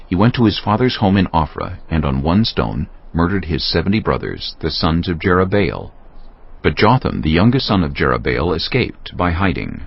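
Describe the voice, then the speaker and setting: A man's voice: deep voice, gravelly, husky. A man reading scripted narration for a dramatized scripture recording. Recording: dull, lo-fi sound.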